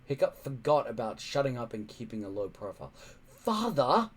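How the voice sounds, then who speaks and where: A man's voice: medium pitch, fast talker, warm tone. A man reading a children's story aloud in a dramatic, emphatic narrating style. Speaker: audiobook-style story reader.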